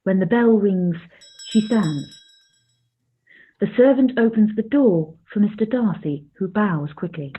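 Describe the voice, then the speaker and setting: A woman's voice: medium pitch, animated, a little slow, dark tone, husky. A woman narrator reading scripted stage directions for a radio play. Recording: thin phone-like sound.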